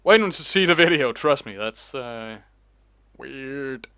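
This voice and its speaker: Guy rambling thru his vlog at his computer, takes his time, smooth clear voice. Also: medium pitch, expressive, pushed and bright, no echo, studio quality. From Denmark. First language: Danish. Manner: rambling, unhurried, chatty. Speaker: host. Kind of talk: casual reaction vlog commentary. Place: home, alone at a computer.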